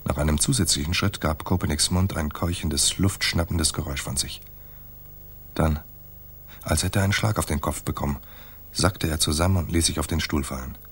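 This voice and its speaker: A man's voice: rumbling low, normal speed, a bit bright. A man playing a laconic hardboiled private detective in a radio noir drama, dry and matter-of-fact. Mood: tense narration. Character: laconic hardboiled male private detective narrating his case. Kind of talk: 1980s radio noir narration and dialogue.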